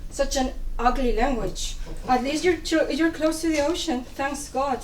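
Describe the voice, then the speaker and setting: Woman acting high-pitched, chiding Cuban confidante. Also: measured pace. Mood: reproachful. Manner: chiding, mixes in Spanish endearments. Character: lively, blunt, reproachful Cuban woman confidante. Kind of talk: dramatic play reading. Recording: echoey room, distant mic, faint noise.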